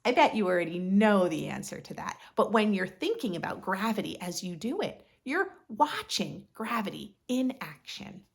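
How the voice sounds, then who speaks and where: A woman reading a picture book aloud to young children in an expressive, instructive way, as a read-aloud host. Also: mid-range voice, moderate pace, creaky, hardly any pauses. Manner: expressive, instructive, asks listeners questions.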